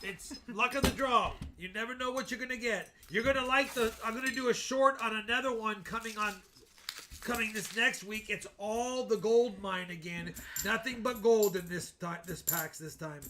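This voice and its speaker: Brief: fifty-year-old male streamer, high bright voice, chatty and excitable, talks to chat by name. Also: moderate pace, slight background noise. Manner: excited, chatty, keeps talking to viewers by name. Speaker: coin-hunting live streamer. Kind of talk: casual live-stream chat while searching coin rolls.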